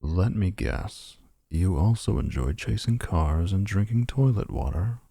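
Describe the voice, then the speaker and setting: A man playing a gravelly, smug vampire flirt. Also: low-pitched, animated, a little slow, dark tone, dry recording, clean studio sound, full character voice. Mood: scornful.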